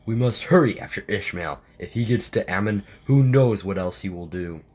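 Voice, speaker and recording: A man's voice: lowish voice, moderate pace, a little mellow, close to an everyday voice. A man acting a stern, resolute military captain burdened by guilt, with a commanding manner, in an amateur audio drama. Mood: urgent.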